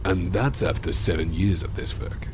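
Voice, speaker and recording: Man playing a deep, gruff bruiser. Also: moderate pace, noisy background, natural voice. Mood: curt, dismissive. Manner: terse, blunt, broken English. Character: gruff, surly, burly male cat mechanic, terse and irritable.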